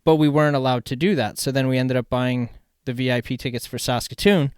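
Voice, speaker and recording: Smooth-voiced Canadian man chatting excitedly. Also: medium pitch, fast talker, no room sound, studio quality. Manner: excitable, rambling, slangy. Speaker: podcast host. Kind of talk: casual chat, storytelling.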